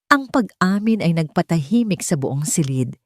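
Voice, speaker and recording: Female narrator for dramatic fiction, lower-pitched voice, close dry recording. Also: lively intonation, normal speed, no room sound. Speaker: story narrator.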